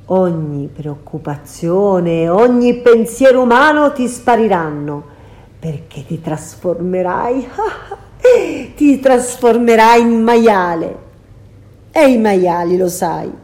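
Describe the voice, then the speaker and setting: A woman's voice: mid-range voice, mellow. A woman voicing a scheming sorceress in a storybook reading, sweet and mocking by turns. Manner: sugary then mocking, cackling. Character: sorceress woman, scheming, cruel then pleading. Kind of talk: storybook character voice.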